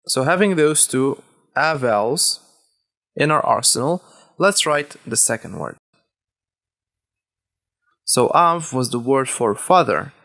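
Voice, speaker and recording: A man who is a native Hebrew speaker, teaching a language lesson step by step, deliberately and with key words repeated. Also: medium pitch, animated, fairly bright tone. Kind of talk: instructional lesson, explaining step by step.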